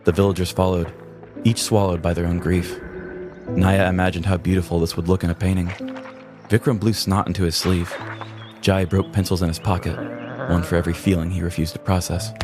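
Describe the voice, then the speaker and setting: A male narrator tells a scripted comedic story. His voice is very low in pitch. A clear music bed plays under the narration. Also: level tone, brisk.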